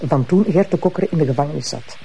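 A woman playing a blunt, teasing, sharp-tongued prosecutor married to a police inspector in a TV crime drama, with a Flemish accent. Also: sits low, expressive, racing, slight background noise. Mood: brisk, explaining. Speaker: actress in a TV crime series. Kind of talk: naturalistic TV drama acting.

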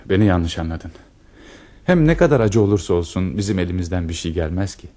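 A man's voice: deep voice, a little fast, mellow. A man playing an ardent, intense officer in earnest, declamatory radio theater style. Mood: insistent, protective. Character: lovestruck, intense male officer in a wartime romance.

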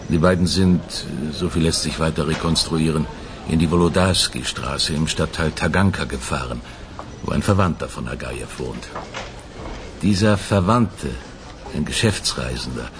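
An actor playing an earnest, methodical police investigator in a German radio crime drama, speaking deliberately. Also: male voice, sits low, noisy background. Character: Russanow, male police investigator recounting a case, measured and earnest. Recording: studio radio drama with music underneath.

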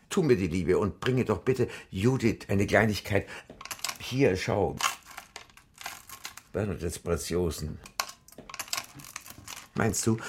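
An actor playing a frail, morbid old widower in a radio drama, courtly and old-fashioned with a spiteful streak and breathy asides. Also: male voice, fairly low pitch, very noisy. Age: older.

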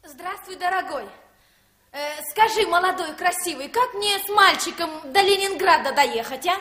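A woman's voice: extremely high pitch, lively intonation, normal speed, bright, forceful tone, slight background noise, heavily acted. An actress playing a wheedling wandering mother, coaxing and singsong, in an old radio play. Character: wheedling wandering mother travelling with her small boy. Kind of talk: 1960s Soviet radio play acting.

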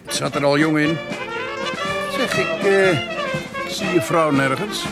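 A man playing a tentative, nosy village acquaintance in a Dutch radio drama, talking haltingly. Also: on the high side, huge pitch swings, full character voice, rough recording. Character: hesitant, nosy village acquaintance making careful small talk. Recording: loud music behind the voice.

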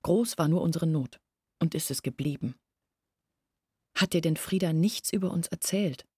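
An actress in a German radio drama playing an earnest, anguished young woman who confides her family's hardship in a deliberate, self-reproachful way. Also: deep voice, darkish tone, breathy edge, no echo, studio quality. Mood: sorrowful, resolute.

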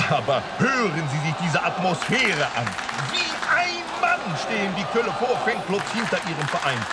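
A gushing male sports commentator calls the match in a high, wildly varied voice. He sounds off from the microphone, with a loud stadium crowd roaring around him. His delivery is rousing, with words drawn out for effect. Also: recorded from a distance, very noisy. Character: excited male football commentator praising the winning team. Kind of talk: live sports commentary in a radio play.

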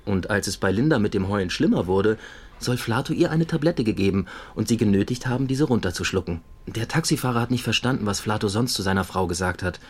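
A man's voice: on the low side, expressive, fast talker, a little mellow, husky. An actor playing a dry, matter-of-fact male police investigator in a radio drama.

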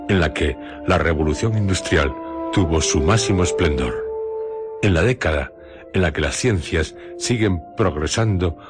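A man with a Castilian Spanish accent hosting a radio show, narrating a script in a solemn, theatrical, deliberate way with pauses. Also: low voice. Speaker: radio host. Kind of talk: dramatic scripted radio narration introducing horror and adventure stories. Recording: music bed under studio radio voice. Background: music.

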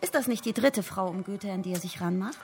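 A woman's voice: mid-range voice, fairly quick, darkish tone, soft background music, lightly acted. An actress playing a curious, persistent woman in a naturalistic radio drama, asking direct questions.

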